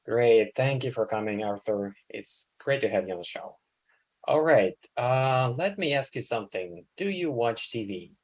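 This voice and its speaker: A man's voice: medium pitch, expressive, moderate pace. A man hosting a podcast, introducing the topic and interviewing a guest. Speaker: podcast host.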